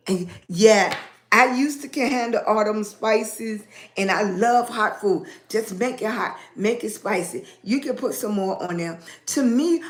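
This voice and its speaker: Slow-talking raspy woman. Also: mid-range voice. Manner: greets viewers by name, rambling and animated. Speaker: livestream host. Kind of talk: casual livestream chat with viewers. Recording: echoey room.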